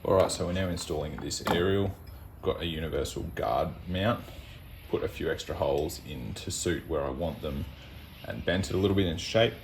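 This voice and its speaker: A man's voice: a bass voice, gravelly, a little hiss or hum. An Australian man casually explaining a hands-on DIY install in a laid-back, matter-of-fact way. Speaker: DIY van-build vlogger.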